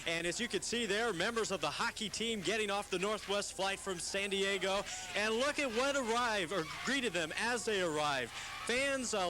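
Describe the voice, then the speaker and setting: Guy reporter ad-libbing on location for tv news, pretty high voice, noisy old tape. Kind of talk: ad-libbed live news report. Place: airport terminal.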